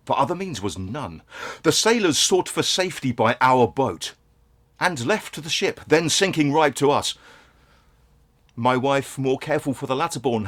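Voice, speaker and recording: An actor playing a grieving, world-weary merchant father in a Shakespearean stage monologue, speaking in solemn, measured, theatrical verse. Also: fairly high pitch, fairly bright tone. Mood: grave, mournful, then tense recounting.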